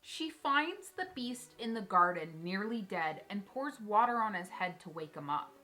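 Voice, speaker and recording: A woman hosting a video, telling a story and commenting in a casual, expressive, chatty way. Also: medium pitch, normal speed. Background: background music.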